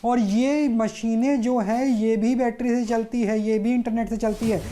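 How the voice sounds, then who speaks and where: A woman's voice: on the high side, fairly even intonation, measured pace, warm tone. A woman presenting TV news in a live demonstration style, explaining step by step with emphatic repetition.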